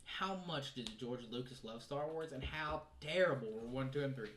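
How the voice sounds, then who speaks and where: A man's voice: medium pitch, moderate pace, a bit bright. A man co-hosting a podcast in casual panel chat, informal and full of opinions. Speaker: podcast co-host. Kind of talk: casual panel chat about pop culture. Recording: echoey room with faint noise.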